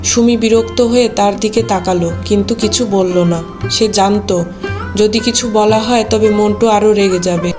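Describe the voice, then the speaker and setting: A woman's voice: medium pitch, fairly even intonation, moderate pace, music in the background. A woman narrator reading a scripted story for a cartoon.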